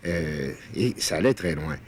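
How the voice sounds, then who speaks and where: A man, interviewing for a broadcast in measured, articulate sentences. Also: extremely low pitch, lively intonation, darkish tone. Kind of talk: interview questioning. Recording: 1960s broadcast recording with background noise.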